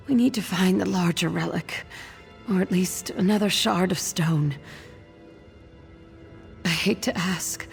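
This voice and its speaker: A woman playing a frail, ailing noblewoman in a video game, halting and weary as she pleads and hides her suffering. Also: mid-range voice, gravelly. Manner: halting, weary, breaking into whispers. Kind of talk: dramatic game dialogue.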